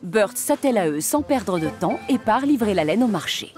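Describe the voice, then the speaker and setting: A woman's voice: medium pitch, very animated, moderate pace, a little mellow. A woman reading scripted storybook narration for a children's cartoon. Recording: music behind the voice. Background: music.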